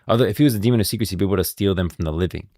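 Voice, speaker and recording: A man talks as a game lore streamer. His voice is deep and recorded close and dry, and he sounds casual and insistent, reasoning things out aloud. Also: very fast, a little mellow, dry recording. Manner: informal, thinking aloud, insistent. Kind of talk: casual livestream commentary.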